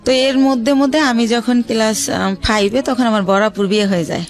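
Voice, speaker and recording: A woman from Bangladesh telling her life story as a radio show guest, hesitant, with many pauses and fillers. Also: a little high, steady tone, soft background music.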